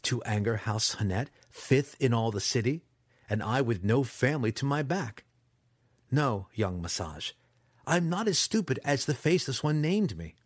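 A man voicing a desperate, proud, vengeful male dark elf student in an audiobook, indignant and excitable. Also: mid-range voice, normal speed, slightly rough, no echo, studio quality. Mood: defiant. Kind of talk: audiobook character acting.

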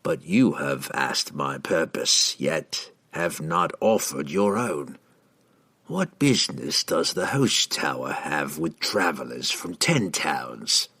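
Storybook killer, a man acting: deep, hushed growl, slow and clipped, icy contempt in a fantasy tale. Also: big pitch swings, slightly rough, exaggerated voice. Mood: guarded, scornful. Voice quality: low growl. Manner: slow, clipped, quiet menace. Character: cold, menacing male assassin, curt and contemptuous.

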